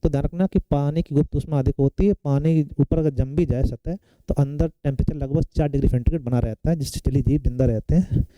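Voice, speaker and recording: A man's voice: a little high, steady tone, quick pace, warm tone, no echo, dull audio. A man giving an exam-prep science lecture, explaining step by step and often checking in with short tag questions. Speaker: science teacher for competitive exam students. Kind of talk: classroom lecture working through exam questions.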